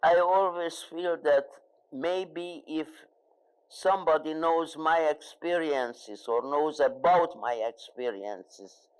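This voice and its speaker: An older woman with a Hungarian accent, a native Hungarian speaker, answering questions as a guest speaker in a live interview, pausing often and searching for words. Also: low voice, low-quality recording. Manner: halting, searching for words with frequent fillers. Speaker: Holocaust survivor, guest speaker.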